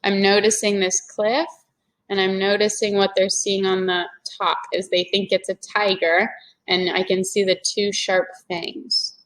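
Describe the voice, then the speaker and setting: A woman's voice: medium pitch, flat delivery, a little slow, a bit bright. A woman reading a children's story aloud in a teacherly way, explaining things to young listeners. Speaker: teacher-like read-aloud reader.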